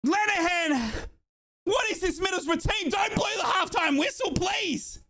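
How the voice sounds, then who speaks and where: A man from Australia streaming a football watchalong, cheering and yelling excitedly, his voice hoarse from shouting. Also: very high voice, unhurried. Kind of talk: excited fan commentary.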